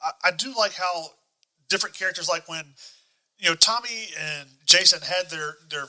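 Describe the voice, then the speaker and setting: A man's voice: sits high, moderate pace, sharp tone, poor audio. A man chatting casually as a podcast co-host. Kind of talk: casual chat.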